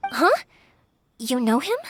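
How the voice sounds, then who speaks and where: A woman's voice: high-pitched, sing-song, slow pace, a bit bright, a little hiss or hum, full character voice. An actress playing an eager, caring young woman who makes fireworks in an anime video game. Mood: thoughtful. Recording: music clearly under the voice.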